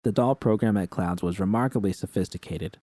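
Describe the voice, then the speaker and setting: Dark, deep-voiced male story narrator. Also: brisk, no echo. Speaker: audiobook narrator. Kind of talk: scripted first-person fiction narration.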